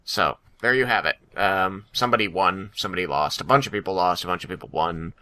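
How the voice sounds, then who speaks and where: Bright, forceful male podcast host. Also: low voice, fast. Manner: joking, self-mocking, sarcastic. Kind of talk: casual banter among friends.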